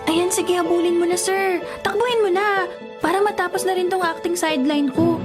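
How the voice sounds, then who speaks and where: A Filipino office assistant in a radio drama, a reluctant accomplice. She is cheeky and wry, complaining with exaggeration over clearly audible music. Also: very high-pitched, steady tone, moderate pace. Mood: exasperated.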